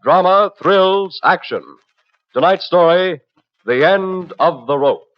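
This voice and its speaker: A man's voice: high voice, slow. A man announcing a radio show in a dramatic 1950s style. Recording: dull old radio recording, loud music.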